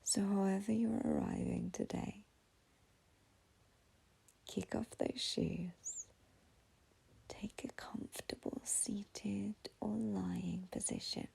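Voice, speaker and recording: A woman leading a guided meditation in a slow, soothing, gentle half-whisper, breathy and husky, with long pauses between phrases. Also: mid-range voice, steady tone, poor audio.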